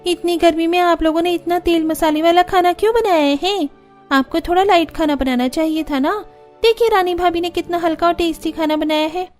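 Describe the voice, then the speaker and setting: A woman's voice: extremely high pitch, fairly quick, soft background music, voice pushed up. A woman voicing an outspoken, complaining young sister-in-law in a cartoon story, with a pert manner.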